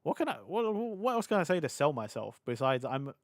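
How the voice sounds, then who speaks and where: A young British man streaming live, rambling and laughing as he chats with his audience, his voice a little hoarse from hours of talking. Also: fairly high pitch, big pitch swings, no room sound, clean studio sound. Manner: chatty, scattered, quick to laugh. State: slightly hoarse. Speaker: VTuber streamer. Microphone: desk mic on a boom arm.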